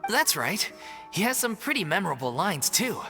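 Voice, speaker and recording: An easygoing, jovial food lover in an anime game. His delivery is animated and unbothered, with big swings in pitch and faint music behind. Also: normal speed, vocal fry, heavily acted. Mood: cheerful. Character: easygoing, food-loving, good-humoured male stage actor.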